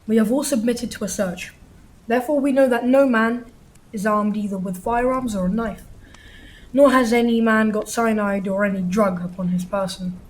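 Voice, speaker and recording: A woman acting a stern, authoritative older gentleman in a radio play, speaking formally and pompously. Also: fairly high pitch, fairly even intonation, normal speed, close to an everyday voice, rough recording. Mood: commanding.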